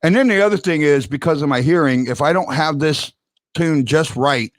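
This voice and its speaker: A man hosting a fan talk show, loud and excitable, rambling and restarting his sentences as he argues his point. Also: fairly high pitch, normal speed. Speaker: podcast host. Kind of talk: heated hockey fan call-in talk. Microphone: headphones.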